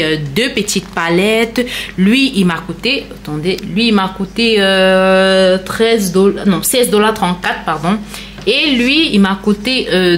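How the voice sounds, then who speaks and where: A woman's voice: medium pitch, fairly bright tone, a little hiss or hum. A woman chatting casually and explaining things directly to viewers in a vlog. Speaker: lifestyle vlogger. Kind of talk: casual grocery haul vlog narration.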